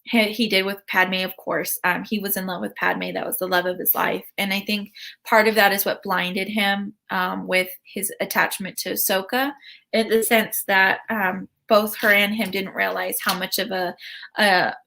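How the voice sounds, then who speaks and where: A woman hosting a casual commentary video, chatty and full of ums and restarts, with a voice she calls high-pitched. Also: level tone, normal speed, gravelly, pushed and bright. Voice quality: says her voice is high-pitched. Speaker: host.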